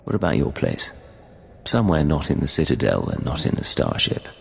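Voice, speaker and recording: A deep, gravelly imperial agent. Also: male voice, a little fast, heavily acted. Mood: steady. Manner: low-key, even and concise. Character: calm male imperial agent, steady and loyal. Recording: long reverb over loud music.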